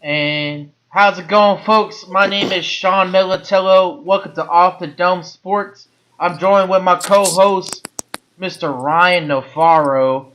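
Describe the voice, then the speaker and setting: This is a man hosting a laid-back sports podcast. His voice sits high and sounds bright and forward. He talks loosely, doubling back and repeating words. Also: takes it slowly, a little hiss or hum, rough recording. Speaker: podcast host. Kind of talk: casual sports talk.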